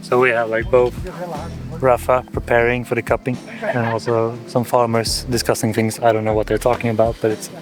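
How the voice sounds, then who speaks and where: A man's voice: mid-range voice, monotone, a little fast. A man filmmaker talking casually about the shoot. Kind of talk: casual behind-the-scenes talk. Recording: music playing underneath.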